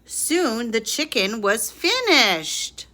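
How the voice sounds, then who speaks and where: Woman, children's picture-book narration, bright voice, swooping lively intonation, reacting asides. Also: fairly high pitch, very slow. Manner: expressive, with small reacting asides to listeners. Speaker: storyteller reading a picture book aloud. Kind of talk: expressive bedtime story narration for children.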